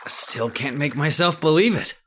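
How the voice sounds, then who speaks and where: A man playing a courteous, wide-eyed young scientist in a radio drama, with a halting delivery. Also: on the high side, expressive, a bit bright, a little hiss or hum. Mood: amazed. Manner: halting, breathlessly amazed, courteous. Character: astonished, courteous young male scientist guest. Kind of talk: old-time radio drama acting.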